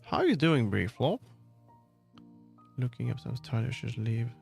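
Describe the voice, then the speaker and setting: A man chatting casually on a livestream as he works, often halting and muttering to himself. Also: medium pitch, sing-song, gravelly. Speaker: livestreamer making a game. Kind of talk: casual livestream chat while working. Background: background music.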